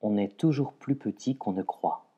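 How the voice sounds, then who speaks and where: A man reciting poetry in a measured way, pausing between short phrases. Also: fairly low pitch, mellow, breathy edge, highs cut off. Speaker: poetry reader.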